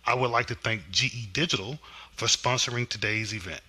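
A man hosting a webinar reads a formal introduction of the speaker in a measured way. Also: lowish voice, sharp tone, husky. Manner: measured, formal; stumbles on a product name. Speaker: webinar host. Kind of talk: reading a scripted introduction.

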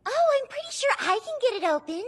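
An actress in an anime dub playing a bubbly, playful, excitable teenage girl. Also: very high voice, quick pace, full character voice. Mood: curious.

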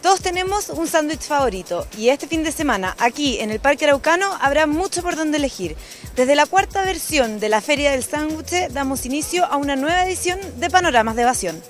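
A woman's voice: high-pitched, lively intonation, fairly quick, faint music behind. A young woman presents the news outdoors in a park, speaking to camera into a handheld mic. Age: young adult. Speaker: reporter and presenter.

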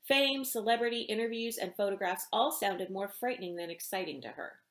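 A middle-aged woman, an author reading aloud in an expressive storytelling style in a small indoor room. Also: medium pitch, brisk. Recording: roomy home echo.

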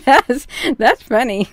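Slow-paced, higher-voiced woman reading stories. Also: lively intonation, no room sound. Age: middle-aged. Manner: folksy, easily amused. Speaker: storyteller, host. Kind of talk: reading a children's story aloud, with casual asides. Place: small room indoors. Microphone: large desk microphone up close.

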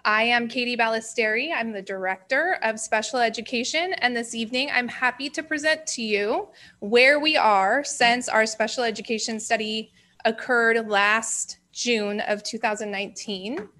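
A woman's voice: fairly high pitch, moderate pace, bright, forceful tone. A woman, a special education director, giving a formal report at a school board meeting in a strong, projecting voice.

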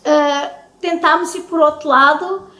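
Portuguese accent lady, middle aged, high voice, chatting away to viewers in her echoey kitchen. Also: fairly even intonation, measured pace, pushed and bright. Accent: European Portuguese. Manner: chatty, affectionate address to viewers. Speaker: home vlogger. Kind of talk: casual chat to viewers. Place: home kitchen, indoors.

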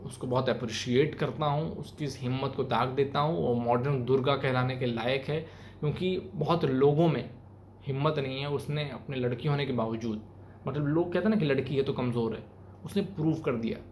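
A young man with an Indian accent giving emphatic, colloquial opinion commentary to camera in a small room. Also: fairly high pitch. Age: young adult. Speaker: video commentator, channel host. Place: small plain room indoors. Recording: clearly noisy room recording.

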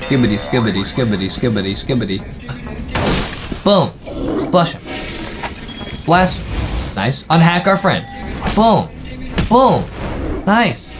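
A man's voice: mid-range voice, very animated, takes it slowly, pauses often. A young man hosting a reaction video, giving hyped live commentary full of exclamations, slang and repeated catchphrases. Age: young adult. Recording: loud music under the voice.

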